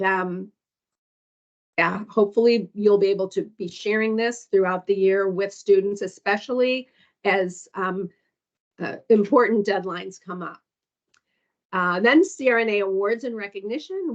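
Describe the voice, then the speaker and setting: Woman presenter, mid-range voice, halting delivery with frequent fillers, reading through program lists over a muffled lo-fi call line. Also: mid-range voice. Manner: hesitant, frequent ums, reads down lists. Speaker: foundation staff presenter. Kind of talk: orientation call presentation walking through slides. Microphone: conference call audio. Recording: dull lo-fi call recording.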